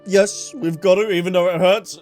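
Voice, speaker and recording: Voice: mid-range voice, fairly even intonation, normal speed, darkish tone, faint music behind. A game streamer giving casual, reactive commentary, thinking aloud while playing.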